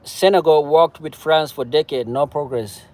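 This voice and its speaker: Cameroonian-accented man arguing politics, halting and emphatic. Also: a little high, a little mellow. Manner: halting, repetitive, emphatic phrasing. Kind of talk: impassioned political discussion, casual and unscripted.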